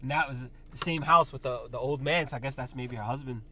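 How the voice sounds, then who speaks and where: A man's voice: medium pitch, fast. A young man from the United States co-hosting a podcast, chatting casually and telling stories with lots of swearing. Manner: loose, profane, animated storytelling. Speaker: podcast co-host. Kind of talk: casual chat. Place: inside a car. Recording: noisy car interior.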